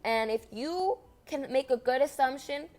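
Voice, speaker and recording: A woman's voice: high voice, expressive, unhurried, a little mellow. A young woman whose first language is Spanish, speaking in an impassioned personal monologue, earnest and repetitive with emphatic stresses.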